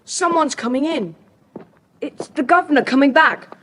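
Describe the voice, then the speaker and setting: Eager bossy schoolboy, highish voice, brisk, kids adventure play on slightly hissy tape. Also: female voice, animated, fairly bright tone. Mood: urgent. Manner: brisk, take-charge. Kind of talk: children's audiobook drama acting. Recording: faintly hissy cassette tape.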